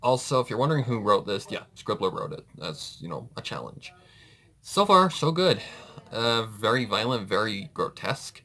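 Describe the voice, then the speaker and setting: Male reaction host, lowish pitch with a forceful bright tone, loose and rambling casual commentary. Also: very animated, moderate pace. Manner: rambling, self-correcting.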